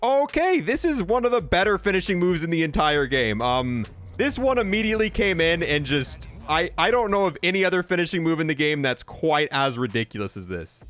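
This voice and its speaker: A man's voice: a little high, very animated, a little fast, fairly bright tone. A man hosting a gaming video with casual, enthusiastic and emphatic review commentary.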